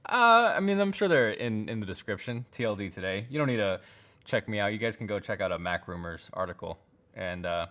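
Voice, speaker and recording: A man's voice: on the low side, expressive, fairly quick, a bit bright. A man appearing as a podcast guest, chatting in a laid-back, slangy, casual manner. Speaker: podcast guest, tech YouTuber. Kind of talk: casual chat.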